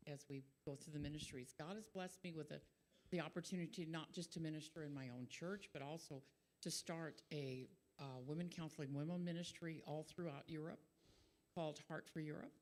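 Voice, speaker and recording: An older woman giving an earnest missionary testimony at church, steady with some fillers. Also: low voice, brisk, a little hiss or hum. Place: church sanctuary.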